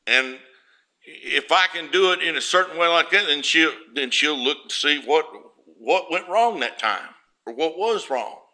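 Loud folksy preacher with a bright voice. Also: male voice, a little high, expressive, a little slow. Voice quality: naturally loud voice. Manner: homespun, storytelling, rises loud for emphasis. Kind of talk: folksy sermon.

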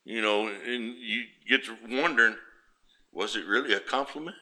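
A man's voice: medium pitch, moderate pace, poor audio. A man preaching in a homespun, storytelling way. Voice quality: naturally loud voice. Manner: homespun, storytelling, rises loud for emphasis. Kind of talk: folksy sermon.

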